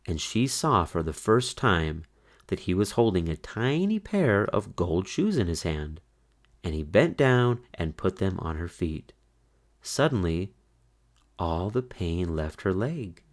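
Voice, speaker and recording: A man reads a children's story aloud in a low voice with very animated pitch. He phrases things deliberately, leaving dramatic pauses as a storyteller does. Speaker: storybook narrator.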